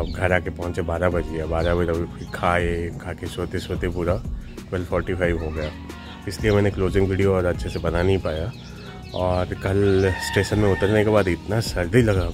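A man in his forties chatting casually as a travel vlogger, filming himself on railway platforms with a handheld camera. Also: deep voice. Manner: chatty, relaxed, with many filler sounds. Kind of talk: casual travel vlog updates. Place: outdoors on railway platforms and a garden. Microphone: handheld camera selfie framing.

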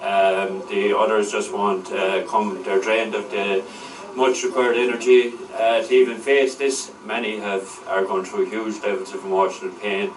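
Halting, flat-pitched man, low voice. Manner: halting, hesitant. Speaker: anti-eviction volunteer interviewee. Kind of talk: interview answer. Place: outdoors on courthouse steps. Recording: roomy, slightly distant, faint noise.

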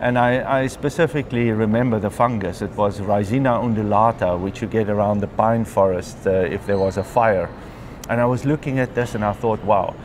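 Chatty South African man, lowish voice. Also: fairly quick, a little mellow, slight background noise. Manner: chatty, frequent ums and clears throat. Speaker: interviewee, mycologist. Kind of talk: relaxed conversational storytelling. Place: large glass atrium of a conference venue. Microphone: lapel mic.

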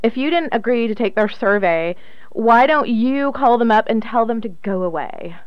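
A woman's voice: a little high, lively intonation, normal speed, smooth, no echo, dull audio, natural voice. An actress playing a blunt, nagging but concerned woman at home in a radio drama. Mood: chiding, concerned.

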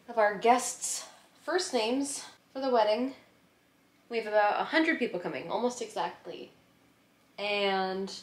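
A woman around her thirties chatting casually to camera in a playful, expressive way, stretching words for emphasis. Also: mid-range voice. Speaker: vlogger. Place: apartment living room. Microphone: camera at arm's length.

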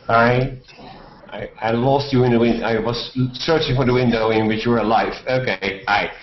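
A man's voice: mid-range voice, fairly even intonation, moderate pace, dull audio, slight background noise. Older man, echoey call audio. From Netherlands. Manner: rambling, hesitant. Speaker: panelist. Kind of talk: self-introduction on video call. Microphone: headset on video call.

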